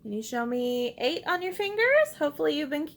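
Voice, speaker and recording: A woman reading a picture book aloud to young children like a teacher, expressive and encouraging, pausing to ask simple questions and invite repeats. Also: high-pitched, creaky. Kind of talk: interactive children's read-aloud with call-and-response prompts.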